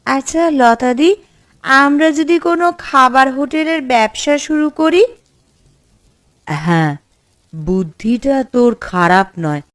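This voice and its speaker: A woman playing an earnest, resolute village sister in a cartoon, with a deliberate way of talking. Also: high voice, animated. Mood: earnest, planning. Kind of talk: cartoon voice acting.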